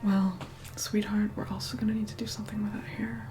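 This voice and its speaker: A woman playing an aloof, reluctantly caring vampire woman in an ASMR roleplay, soft-spoken and breathy, often whispering, slow and condescending. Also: medium pitch, level tone, lightly acted. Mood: bossy, aloof. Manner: slow, condescending, grudgingly kind. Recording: loud music behind the voice. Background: music.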